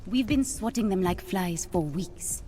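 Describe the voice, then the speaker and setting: An actress playing a sharp, self-assured young princess and tech genius in a video game, speaking directly. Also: female voice, mid-range voice, lively intonation, measured pace, close to an everyday voice. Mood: businesslike. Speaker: princess and scientist. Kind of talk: cinematic video game acting. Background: faint music.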